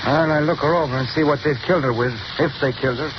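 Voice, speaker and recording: A chatty Irish police lieutenant played by a man, in a thick Irish brogue, middling-high pitch. Old, dull radio sound under steady hiss. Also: very fast, natural voice. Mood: gruff. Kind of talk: 1930s radio drama acting.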